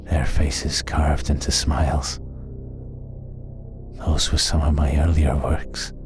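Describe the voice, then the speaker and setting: A man voicing a coldly intense, menacing male killer in a horror audio story, speaking deliberately with ominous pauses. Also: extremely low pitch, level tone. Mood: cold menace. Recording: loud music under the voice. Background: music.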